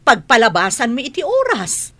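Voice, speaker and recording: A woman's voice: on the high side, wildly swooping pitch, normal speed, sharp tone, exaggerated voice. A woman playing a defiant grown daughter in a radio drama, arguing defensively with an Ilocano accent. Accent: Ilocano Filipino. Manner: defensive, argumentative. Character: defiant grown daughter fond of mahjong gambling.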